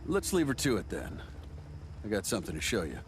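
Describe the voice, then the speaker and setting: Deep, folksy buddy, lively pitch. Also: male voice, a little fast, background noise. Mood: easygoing, reflective. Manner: folksy, drawling, amused. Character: genial old American agency man, folksy buddy. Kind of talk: video game cutscene acting.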